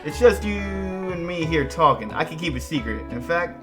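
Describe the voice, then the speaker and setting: Man, lively intonation, giggly banter, playful line reads, clear background music. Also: fairly high pitch, normal speed. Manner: giggly, jokey. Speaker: gaming video co-host.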